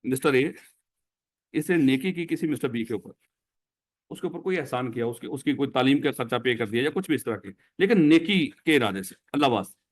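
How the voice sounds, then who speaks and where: A man's voice: mid-range voice, animated, brisk. A man with a Pakistani Urdu accent teaching a classroom lecture, who explains by putting questions to his students.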